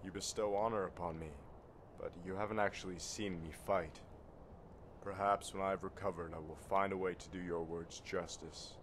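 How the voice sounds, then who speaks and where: Grave fantasy game warrior, very low voice, solemn formal speech, noisy background. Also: male voice, steady tone, normal speed, fairly bright tone. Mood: grieving, solemn. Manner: solemn, formal, archaic phrasing. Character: grave, honour-bound warrior of a sworn order, grieving. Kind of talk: fantasy video game dialogue.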